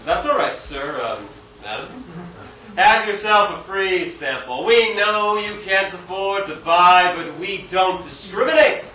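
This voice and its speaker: A man playing a smooth-talking, patronising water salesman in a comic stage musical. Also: high voice, lively intonation, a little slow, sharp tone, heavily acted. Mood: patronising, proud. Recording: distant mic in echoey theatre, dull, faint noise.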